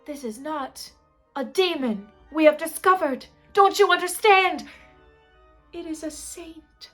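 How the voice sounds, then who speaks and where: A woman voicing an excitable, devout male French gravedigger in a told story, with exclamatory, rapturous delivery. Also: a little high, faint music behind. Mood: insistent, awed. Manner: exclamatory, drawn-out rapturous cries. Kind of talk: storybook character in oral storytelling.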